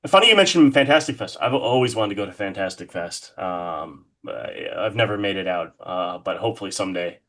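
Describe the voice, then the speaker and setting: Low-voiced man in casual, enthusiastic chat. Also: animated, a little fast, hardly any pauses, fairly bright tone. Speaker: podcast guest, horror artist.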